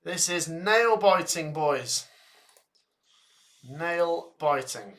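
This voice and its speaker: A man giving live fan commentary on a match, excitable and shouting at goals, his voice going hoarse and breathless. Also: fairly high pitch, very slow. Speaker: watchalong host commentator.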